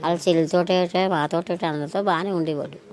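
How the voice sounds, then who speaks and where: Plaintive middle-aged woman, lowish voice. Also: fairly even intonation, fairly quick, a little mellow. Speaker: interviewee, bereaved mother. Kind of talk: emotional interview answers.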